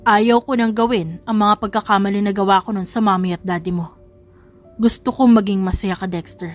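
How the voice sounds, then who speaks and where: A woman's voice: mid-range voice, fairly even intonation, moderate pace, soft background music, lightly acted. A woman voicing a stern but loving old grandfather in a dramatised romance audiobook. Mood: tender, solemn.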